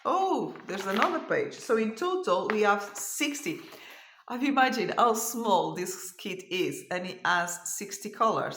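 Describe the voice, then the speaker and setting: A woman's voice: lowish voice, gravelly, fairly bright tone, reverberant. A woman whose first language is Portuguese, hosting a cross stitch vlog, tired at the end of a long day, chatting and thinking aloud as she searches for words. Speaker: cross stitch channel host. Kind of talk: casual show-and-tell vlog.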